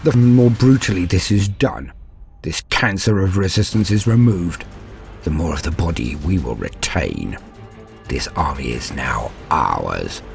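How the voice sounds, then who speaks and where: A very deep-voiced, ruthless warrior of the dark gods, played by a man, narrates a war story with zealous menace. His grandiose delivery swings widely in pitch over faint music. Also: expressive, a little slow, vocal fry, exaggerated voice. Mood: cold triumph. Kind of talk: dramatic first-person war story narration.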